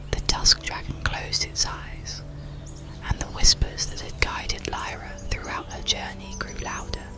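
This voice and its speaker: A storyteller narrating an ASMR bedtime story in a slow, soothing, hushed whisper. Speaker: audiobook narrator.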